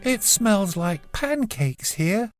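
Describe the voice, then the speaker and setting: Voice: medium pitch, very animated, takes it slowly, faint music behind, pitched above the performer's own voice. A storybook narrator voicing a curious, chatty seven-year-old girl who speaks in an earnest, inquisitive way. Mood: chatty, wondering.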